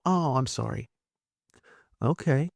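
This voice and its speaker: A man's voice: mid-range voice, animated, slow, creaky, no room sound, clean studio sound. A man in his sixties hosting a casual podcast interview, friendly and rambling, with plenty of fillers and restarts. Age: 60s. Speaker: podcast host.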